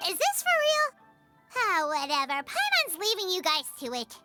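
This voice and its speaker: Tiny squeaky fairy kid for a game, blunt, super high n bouncy, loud music behind. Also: female voice, huge pitch swings, moderate pace, exaggerated voice. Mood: exasperated. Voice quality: squeaky, childlike. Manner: blunt, refers to self in third person. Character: tiny childlike female fairy companion, blunt and food-loving. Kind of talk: animated video game dialogue.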